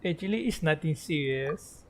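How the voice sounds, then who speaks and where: Malaysian female game streamer, native Malay speaker, lowish voice, informal and teasing, off-the-cuff commentary. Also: lively intonation, moderate pace, heavy background noise. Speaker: game livestreamer. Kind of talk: casual livestream commentary mixing Malay and English.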